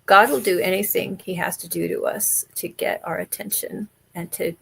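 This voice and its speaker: A woman's voice: fairly low pitch, fairly even intonation, normal speed, creaky, a little hiss or hum. A middle-aged woman guest on a podcast, speaking candidly and earnestly about faith, diet and illness. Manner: candid and earnest, laughs easily. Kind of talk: conversational interview.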